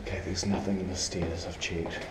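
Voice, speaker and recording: A young man with a New Zealand accent talking casually in a hushed voice while climbing around an abandoned building, recorded on a head-mounted action camera. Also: sits low, level tone, a little fast, very noisy. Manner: hushed, half-whispered, breathy while climbing. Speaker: urban explorer vlogger. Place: outside on balconies of an abandoned building.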